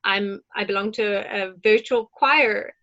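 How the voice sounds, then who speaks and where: A woman's voice: mid-range voice, a little slow, pushed and bright, muffled recording. A woman panelist in an online discussion, talking earnestly and reflectively, with many restarts and fillers. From moved abroad to Ireland.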